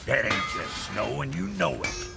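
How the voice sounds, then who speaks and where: An actor playing a crude, blunt, doom-saying dwarf in a video game. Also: male voice, medium pitch, very animated, normal speed, over loud music. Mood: foreboding. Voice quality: very gravelly. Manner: blunt, emphatic, folksy. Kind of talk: video game cutscene acting.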